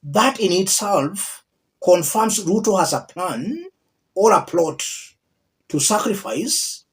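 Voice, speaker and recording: Male Kenyan political commentator; measured, unhurried delivery, widely varied pitch, repeats points for emphasis. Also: sing-song. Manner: emphatic, deliberate, repeats key phrases. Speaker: political commentator, channel host. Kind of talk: political video commentary addressed to viewers.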